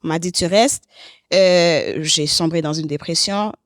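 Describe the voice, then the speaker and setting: A woman's voice: on the low side, a little slow, dry recording, clean studio sound. A woman from Haiti and Canada, talking candidly as a podcast guest and slipping in English phrases. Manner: expressive, slips English phrases into French. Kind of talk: candid conversational interview.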